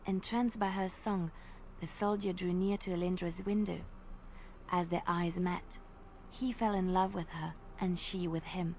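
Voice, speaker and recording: A woman voicing a gentle young woman bard in a video game, telling old tales in a soft, measured and earnest way over faint game ambience. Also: lowish voice, steady tone, creaky, a little hiss or hum. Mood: storytelling. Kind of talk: storytelling in video game dialogue.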